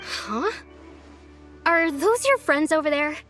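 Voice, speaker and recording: A gentle young village woman in a video game. Her voice is high, her manner shy, earnest and hesitant, and music plays clearly underneath. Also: animated, slow pace. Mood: wistful. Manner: hesitant, soft-hearted.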